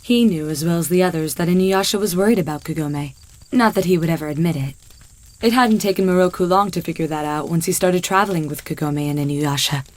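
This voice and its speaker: A woman narrates a scripted story. Her pitch is on the low side for a female narrator. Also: fairly quick.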